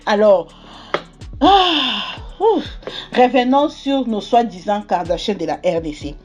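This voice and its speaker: A woman's voice: mid-range voice, darkish tone. A young adult woman from Congo giving opinionated gossip commentary, often stalling on fillers. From Democratic Republic of the Congo. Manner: opinionated, repeats filler words like "quoi" and "tout et tout". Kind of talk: casual gossip commentary to camera.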